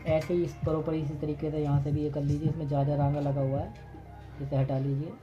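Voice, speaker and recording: A man hosting a repair tutorial, talking through each step at his workbench, briskly and with instructive repetition. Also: a little high, flat delivery, dull audio, over music. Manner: brisk, instructive, repeats "fatafat" and "theek hai". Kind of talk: hands-on repair demonstration.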